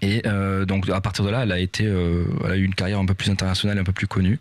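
A man co-hosting a music show, presenting an artist from his notes, halting with many fillers. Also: sits low, little pitch movement. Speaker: radio music show co-host. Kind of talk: casual presentation reading from notes.

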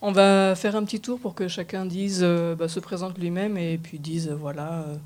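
Casting: woman presenter, slightly deep voice, unscripted panel hosting with hesitations. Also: fairly even intonation, normal speed, darkish tone. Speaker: radio show presenter. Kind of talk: unscripted round-table hosting.

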